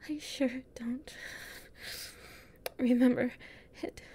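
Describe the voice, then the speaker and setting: A woman acting a weary, anxious human exploring a castle in an audio roleplay, breathy and hesitant, muttering to herself. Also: high-pitched, fairly even intonation, slow, dark tone, a little hiss or hum. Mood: shivering, weary.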